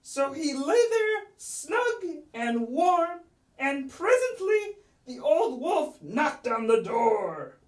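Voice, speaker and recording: High, very animated woman storyteller. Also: echoey room, far from the mic. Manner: dramatic, playful delivery with drawn-out words. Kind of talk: theatrical children's storytelling.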